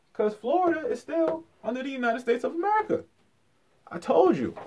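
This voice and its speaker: Male lecturer, mid-pitched voice, colloquial and emphatic, leaning on repetition and rhetorical questions. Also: a little mellow. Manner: emphatic, repeats key phrases for effect. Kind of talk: informal explanatory lecture.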